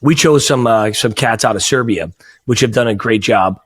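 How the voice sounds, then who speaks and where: A man's voice: medium pitch, normal speed, husky. An American man with a New England accent, hosting a podcast interview in a friendly, joking, self-deprecating way. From United States. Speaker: podcast host. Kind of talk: casual interview chat.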